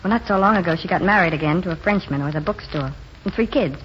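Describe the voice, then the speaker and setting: An actress playing a wistful, dreamy young waitress in a 1940s radio drama, speaking in a plain, earnest way. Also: female voice, fairly low pitch, close to an everyday voice. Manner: plainspoken and earnest, slower when reciting verse. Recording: muffled, noisy 1940s radio broadcast.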